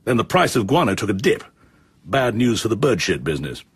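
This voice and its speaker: An actor playing an efficient, level-headed military chief of staff in a radio drama, British, with a brisk, precise way of explaining. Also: male voice, lowish voice, big pitch swings, slightly rough, dry recording, heavily acted. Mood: briskly informative.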